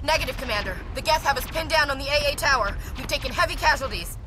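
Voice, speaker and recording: A woman's voice: high-pitched, animated, quick pace, noisy background. A woman playing a tough, combative soldier in a video game, giving tense, urgent military reports. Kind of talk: video game cinematic dialogue.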